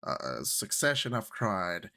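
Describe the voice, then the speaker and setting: Man, 30s, Puerto Rican accent, low-ish voice; relaxed, rambling to-camera vlog talk. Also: slow pace. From Puerto Rico. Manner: chatty, rambling, switches into Spanish phrases. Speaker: YouTube creator.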